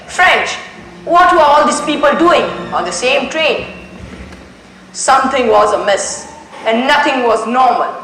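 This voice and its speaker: School kid doing a detective in a play, dramatic pauses, voice all over the place, echoey with music. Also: medium pitch, a bit bright. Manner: declamatory, deliberate, dramatic pauses. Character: theatrical male detective solving a murder. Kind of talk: amateur school stage play monologue. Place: school stage. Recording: echoey stage recording with music behind.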